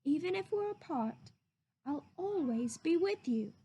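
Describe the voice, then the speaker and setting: A woman voicing a kind, reassuring young boy in a storybook, with an earnest, expressive delivery. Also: high voice, measured pace, voice pushed up. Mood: tender.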